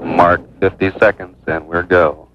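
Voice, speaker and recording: A man's voice: deep voice, level tone, unhurried. A man giving live spaceflight commentary as a mission control announcer, clipped and procedural. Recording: dull, noisy 1960s archive radio feed.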